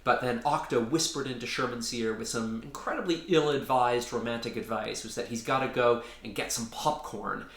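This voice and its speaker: Guy reading a kids story, bright forceful voice, roomy echo on it. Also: medium pitch, moderate pace, hardly any pauses. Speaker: author and storyteller. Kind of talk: animated children's story reading with casual introduction.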